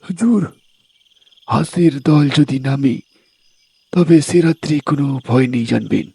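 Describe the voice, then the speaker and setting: A man's voice: mid-range voice, takes it slowly, gravelly, dark tone, slightly rough, no echo, full character voice. A man playing a fearful, deferential old tribesman who speaks cautiously in an audio drama. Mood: wary, deferential. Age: older. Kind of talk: audio story character acting.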